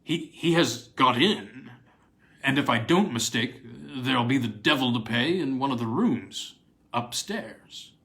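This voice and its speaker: A man playing an excitable, anxious male university don in a storybook reading, with stammering eagerness. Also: medium pitch, a little slow, vocal fry. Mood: eager.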